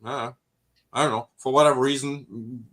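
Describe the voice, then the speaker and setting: A man with a Canadian accent who speaks as a guest in an easygoing, halting way, with lots of fillers. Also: medium pitch, fairly even intonation, fairly bright tone. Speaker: podcast guest, outdoorsman. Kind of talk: casual chat.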